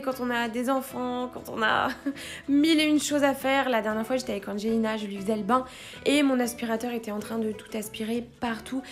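A young woman in her twenties speaks in a slightly high, chatty voice-over for a home cleaning vlog. She praises a product with eager enthusiasm, and soft music plays quietly behind her. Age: 20s. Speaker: vlogger, young mother. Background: faint background music.

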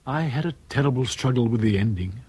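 An actor playing a dry, droll male storyteller with an English accent, narrating a radio play, his voice husky. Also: medium pitch, lively intonation, quick pace, darkish tone, no room sound. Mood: confiding. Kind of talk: scripted radio drama narration.

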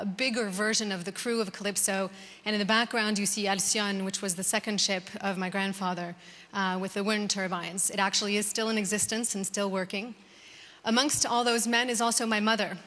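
Bright-voiced American woman in a big hall. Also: medium pitch. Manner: warm conversational, many "um"s and restarts. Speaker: conference speaker. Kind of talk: conference talk. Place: large conference hall. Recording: reverberant hall.

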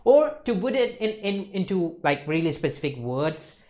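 A man's voice: high-pitched, big pitch swings, normal speed, darkish tone. A young man giving an explanatory lecture, emphatic and often checking in with listeners. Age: young adult. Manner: emphatic, repeats checking tags like "okay, folks" and "isn't it". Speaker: accountancy lecturer. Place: indoor lecture studio.

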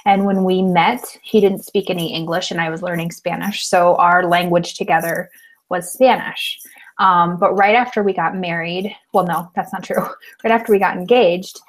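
A woman's voice: on the low side, low-quality recording. Chatty woman from Colorado on a call. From Colorado, United States. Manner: chatty, laughs often. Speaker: podcast co-host. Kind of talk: casual chat. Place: small room at home. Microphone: earbud headset on a video call.

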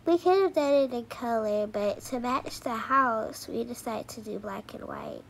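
A woman's voice: on the high side, measured pace, voice pushed down. A woman voicing a kindly, hesitant mother in her thirties in an amateur cartoon doll story. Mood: hesitant, polite. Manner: hesitant, polite. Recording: clearly noisy home recording.

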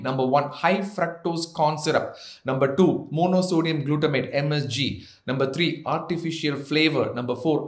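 An Indian man in his thirties gives health tips straight to camera, his voice sitting a little high for a man. He works through numbered points with dry little jokes along the way. The sound carries the echo of a bare office room. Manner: gently joking, lists points one by one. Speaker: doctor, health channel host. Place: office with framed certificates, indoors. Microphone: seated, medium shot to camera.

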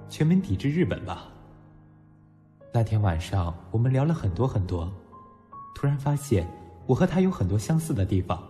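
An actor playing a wistful young man narrating his memories in a radio drama, with a soft, low voice. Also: natural voice. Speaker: lead actor narrating as protagonist. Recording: long reverb over background music.

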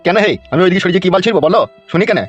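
Cartoon village dad, a man acting: blunt, exasperated, high for a man, muffled audio. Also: racing. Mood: exasperated questioning. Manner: scolding, coarse, blunt. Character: gruff, exasperated middle-aged village father. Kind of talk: comic cartoon dialogue. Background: faint music.

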